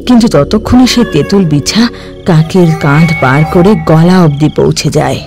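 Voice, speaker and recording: A man narrating a cartoon folk tale in a steady storytelling voice. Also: fairly high pitch, moderate pace, over music.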